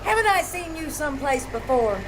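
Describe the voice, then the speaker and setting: A woman's voice: high-pitched, sing-song, normal speed. A woman playing a chatty, scatterbrained, cheerful lady with wry quips in an old-time radio comedy. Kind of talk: old-time radio comedy acting before live performance. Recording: live theater recording with faint noise.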